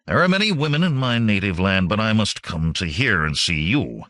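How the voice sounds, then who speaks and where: A blunt young warrior of a fantasy audiobook, acted by a male narrator, speaks low and with feeling. His words are grave and formal, with ardent swings in tone and a stern, honest temper. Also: animated, normal speed, a bit bright. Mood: fervent, aggrieved. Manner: grave, formal, declarative speech.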